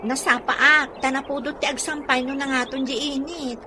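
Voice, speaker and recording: A woman's voice: high-pitched, fairly even intonation, moderate pace, noisy background, lightly acted. An actress in a radio drama playing a cautious, reluctant young rural woman with a Filipino accent. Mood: puzzled.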